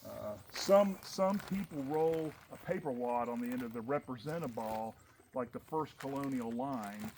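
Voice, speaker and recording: Loud-voiced guy running a training session, normal pitch, bit of hiss behind. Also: measured pace. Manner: loud, animated, talks with his hands in stories. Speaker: musket training instructor. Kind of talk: informal training talk.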